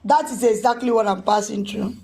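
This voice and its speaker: A woman's voice: a little high, brisk, dark tone. A woman, a caller talking earnestly and repeating her point. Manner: earnest, emphatic, repeats herself. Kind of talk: call-in chat.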